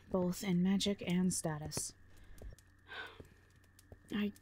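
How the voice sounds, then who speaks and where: A woman's voice: medium pitch, darkish tone, a little hiss or hum. A woman playing a blunt, protective warrior, sardonic but caring, in an audio roleplay drama. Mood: resigned. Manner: blunt, dry, foul-mouthed.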